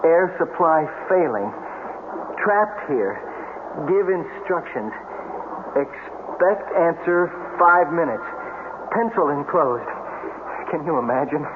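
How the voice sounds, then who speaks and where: Brief: resourceful American man, higher-pitched, lively inflection, take-charge, trapped underground, vintage radio drama. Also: slow, pauses often. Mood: urgent. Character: resourceful, plucky bachelor trapped in a cave. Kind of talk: 1970s radio drama acting. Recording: noisy, narrow-band old radio recording.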